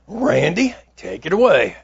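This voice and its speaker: A man as a high, creaky, husky news anchor. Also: wildly swooping pitch, measured pace, lo-fi sound, full character voice. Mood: brisk, announcing. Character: excitable election news anchor and announcer. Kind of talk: parody newscast narration.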